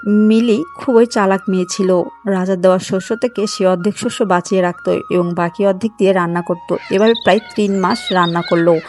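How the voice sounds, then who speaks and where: A woman's voice: mid-range voice, steady tone, fairly quick, a little mellow, soft background music. A woman narrator reading a scripted moral story for a cartoon.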